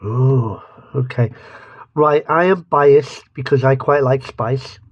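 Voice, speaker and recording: A man's voice: mid-range voice, slow pace, no echo, lo-fi sound. A man with a lisp giving a rambling, joking taste-test review, often restarting his sentences. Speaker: vlogger reviewing mustards. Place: home studio.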